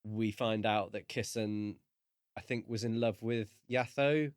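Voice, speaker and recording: Man, lowish voice, dry close sound, podcast co-host, halting and thoughtful, casual book talk. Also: fairly even intonation, clear, resonant tone, no echo.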